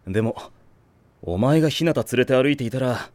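A man voicing a steady, no-nonsense teenage team captain with a firm, decisive manner in an anime audio drama. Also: mid-range voice, brisk, no room sound, close to an everyday voice.

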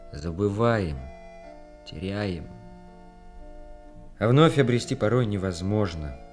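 Low-voiced, wry army lieutenant. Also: male voice, darkish tone. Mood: brooding, regretful. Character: wry, bantering army senior lieutenant, regretful in love. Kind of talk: radio play acting.

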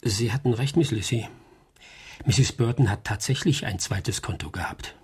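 An actor playing an informal, wry investigator in a radio drama, reporting in a casual, clipped manner. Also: male voice, lowish voice, moderate pace, husky. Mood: wry, businesslike.

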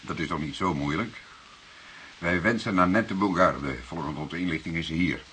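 An actor playing a senior police detective in a Dutch radio drama, deliberate, formal and dryly sardonic, with unhurried authority. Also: male voice, deep voice, bright, forceful tone. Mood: stern, sardonic. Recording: hissy old radio play recording.

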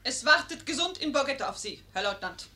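Bright, teasing young lady. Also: sits high, animated, brisk. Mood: teasing, mock serious. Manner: playful, self-assured. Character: witty, teasing young lady disguised as an officer. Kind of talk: 1950s German radio play acting.

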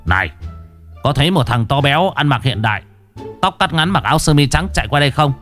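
A man's voice: mid-range voice, animated, fast talker, fairly bright tone, faint music behind, voice pushed up, close to an everyday voice. A man voicing stern underworld guards who bark orders. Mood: commanding. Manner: barking, commanding.

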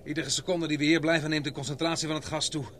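An actor playing a calm, resolute man who leads island survivors, in a measured, commanding Dutch radio drama style. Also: fairly high pitch, lightly acted. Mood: grave, resolute. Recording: noisy old radio drama recording.

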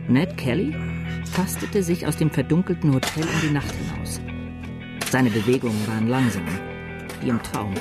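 An actor playing a storyteller who narrates a chronicle for a radio play in a measured, suspenseful way. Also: male voice, mid-range voice, natural voice. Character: dramatic male storyteller reading a newspaper chronicle. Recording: narration over music.

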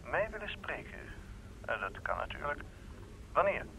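An actor in a Dutch radio play as an obliging gentleman heard at the other end of a telephone, a little hesitant. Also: fairly high pitch, big pitch swings, moderate pace, creaky, full character voice. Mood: surprised, obliging. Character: accommodating, slightly surprised gentleman answering the telephone. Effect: distant telephone voice. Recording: muffled, quiet telephone sound with faint noise.

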